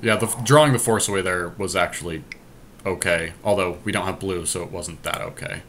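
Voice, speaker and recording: Low-voiced man casually commentating a game. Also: a little fast, a bit bright. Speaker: podcast co-host. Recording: clearly noisy home recording.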